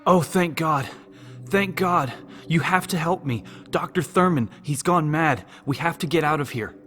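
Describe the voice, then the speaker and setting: A frantic older man from a horror audiobook, pitched high and loud over music. He babbles and gasps, hysterical and desperate for help. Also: unhurried. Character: panicked older male lab worker, hysterical, babbling, begging for help.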